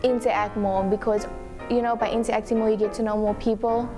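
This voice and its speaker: A woman's voice: fairly high pitch, level tone, a little fast, over music. A teenage girl from Africa, chatting casually in a school interview and filling her talk with "like" and "you know". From South Africa. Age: teens. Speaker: exchange student interviewee. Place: school classroom, indoors.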